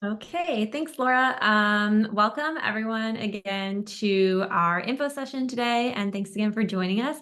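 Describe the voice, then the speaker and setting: A woman's voice: mid-range voice, normal speed, few pauses, a bit bright. A young American woman giving a webinar presentation on a video call, friendly, with many ums and uhs. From United States. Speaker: tour destination expert. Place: indoors at home in a room.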